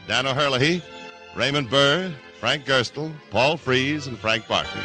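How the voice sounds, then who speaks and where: A man announcing credits in a formal 1950s radio style. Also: medium pitch. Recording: vintage radio broadcast recording.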